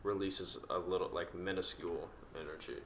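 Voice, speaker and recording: A man's voice: sits low, fairly even intonation, brisk, fairly bright tone. A young man co-hosting a podcast, joking in a dry way in casual chat. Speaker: podcast co-host.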